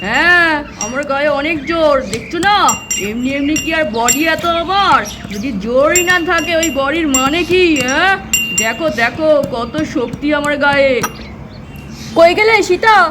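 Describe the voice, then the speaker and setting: A woman's voice: high voice, animated, moderate pace, noisy background. A woman voicing a boastful, helpful village man doll in a children's cartoon, showy and exclaiming.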